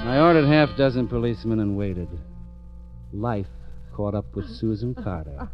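A man's voice: on the low side, animated, moderate pace, loud music behind the voice, lightly acted. An actor playing a hardboiled police lieutenant who narrates his own case in a dry, clipped, sardonic way, in an old radio drama. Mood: hardboiled narration. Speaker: narrator and detective. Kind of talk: 1940s radio acting. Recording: muffled old radio recording.

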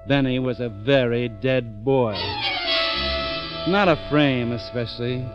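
An actor voices a hardboiled police lieutenant in an old radio play, narrating his case in a dry, clipped, sardonic manner. The sound is muffled and dull, with music playing clearly behind him. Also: mid-range voice, takes it slowly, lightly acted. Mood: hardboiled narration. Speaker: narrator and detective. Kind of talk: 1940s radio acting.

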